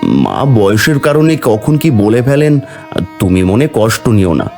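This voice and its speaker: Cartoon casting: male actor as tender, dutiful young husband, lowish voice, lively intonation, earnest and soothing. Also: measured pace, vocal fry, soft background music, full character voice. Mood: consoling, earnest. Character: gentle, apologetic young husband and dutiful son. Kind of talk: Bengali cartoon fairy-tale voice acting and narration.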